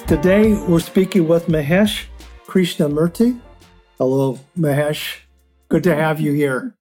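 Male podcast host for an interview show, slightly high and warm-toned, light music bed. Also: animated, measured pace. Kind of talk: podcast interview with a read guest introduction.

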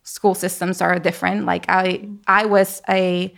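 A young woman, a Spanish speaker, tells her story with animation and frequent laughter. Her voice has a gravelly, creaky edge. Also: fairly low pitch, flat delivery, unhurried, fairly bright tone. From Venezuela. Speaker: guest. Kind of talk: casual conversation.

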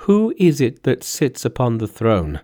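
A man's voice: mid-range voice, huge pitch swings, a little slow. Man playing an earnest, urgent storybook prince. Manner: formal, forceful, entreating. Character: earnest, urgent young prince entreating his royal mother. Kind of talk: storybook dialogue in a narrated folk tale.

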